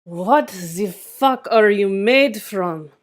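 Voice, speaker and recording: A woman's voice: medium pitch, expressive, extremely slow. A woman at a screenplay table read playing a vicious, vengeful interrogator, menacing and demanding. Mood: furious.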